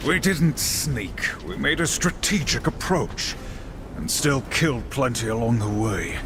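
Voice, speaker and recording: A man's voice: mid-range voice, expressive, measured pace, background noise. An actor playing a stern, dutiful horseman warrior in a video game, speaking in a grave, terse, formal way. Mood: grim. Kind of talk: video game character acting. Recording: loud game music behind.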